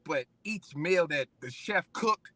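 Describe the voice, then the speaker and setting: A man with a very bright, slightly high voice co-hosts a casual live stream. He talks in a relaxed, slangy way and drops casual asides. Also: slow, no room sound. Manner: laid-back, slangy, laughs easily. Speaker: co-host.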